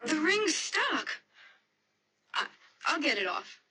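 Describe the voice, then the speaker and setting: A woman's voice: high voice, big pitch swings, takes it slowly, bright, forceful tone, full character voice. A woman playing a stubborn, anxious young American bride-to-be in a radio mystery drama, speaking in a hesitant, protesting, emotional way. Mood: uneasy, puzzled. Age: young adult.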